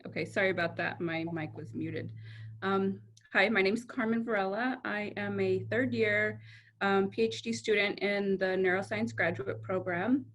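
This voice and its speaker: A young woman from San Antonio, Texas, a PhD student, introducing herself in a relaxed, chatty way on a video call. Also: medium pitch, moderate pace, slight background noise. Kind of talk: casual self-introduction.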